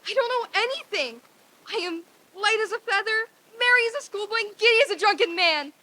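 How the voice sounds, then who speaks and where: A woman's voice: very high-pitched, expressive, fairly quick, bright, forceful tone, full character voice, low-quality recording. A woman playing a reformed, overjoyed old miser in a theatrical old-time radio drama reading, with exclamatory delivery. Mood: bewildered joy.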